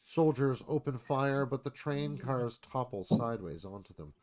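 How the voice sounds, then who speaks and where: Guy reading screenplay scene directions, medium pitch, really lively up and down. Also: moderate pace. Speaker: narrator reading stage directions. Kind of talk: scripted screenplay narration.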